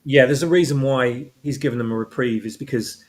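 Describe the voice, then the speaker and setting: A man's voice: medium pitch, a little fast, darkish tone. A man talking casually as an interview guest, explaining his own story and rephrasing as he goes. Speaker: guest, audio drama writer.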